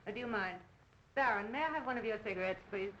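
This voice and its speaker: Actress playing a bright-voiced, gracious countess. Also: female voice, medium pitch, expressive, fast, natural voice. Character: poised, socially gracious countess. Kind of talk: 1930s film acting. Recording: muffled, noisy 1930s film soundtrack.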